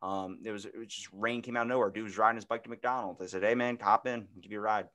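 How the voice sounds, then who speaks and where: A man in his twenties chatting casually as a podcast host, telling stories in an animated, slangy way with frequent swearing. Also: on the low side, fast talker. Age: 20s. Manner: animated, profane, slangy storyteller. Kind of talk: casual chat, storytelling. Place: indoor room, podcast video call.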